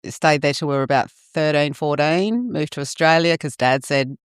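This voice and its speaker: A woman's voice: low voice, normal speed, clean tone, dry recording, studio quality. A middle-aged woman, born in Fiji and raised in New Zealand, who first spoke Fijian, frankly and casually telling her life story as a podcast guest. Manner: frank, laid-back storytelling with swearing. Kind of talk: casual interview chat.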